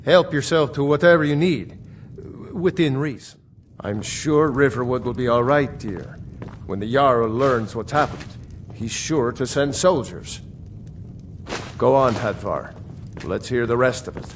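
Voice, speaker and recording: A man voicing a generous, reassuring villager in a fantasy video game, speaking in a measured way. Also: mid-range voice, slight background noise, natural voice. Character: kindly, generous village man welcoming travelers. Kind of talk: video game character acting.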